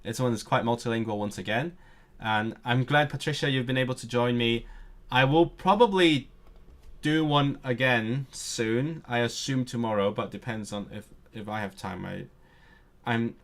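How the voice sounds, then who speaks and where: A man's voice: medium pitch, normal speed. A man whose first language is Cantonese, chatting casually to viewers on a live stream, nerdy and eager, often tripping over words and starting them again.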